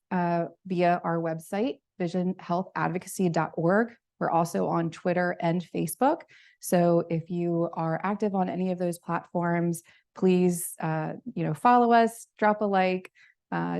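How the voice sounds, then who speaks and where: A woman's voice: lowish voice, creaky, warm tone. A woman hosting a live event, presenting to an audience and then moderating a Q and A, upbeat with frequent fillers.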